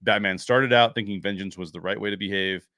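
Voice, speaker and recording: A man's voice: fairly low pitch, steady tone, fairly quick, sharp tone, no room sound, clean studio sound. A man giving unscripted film commentary as a show host, explaining his points emphatically.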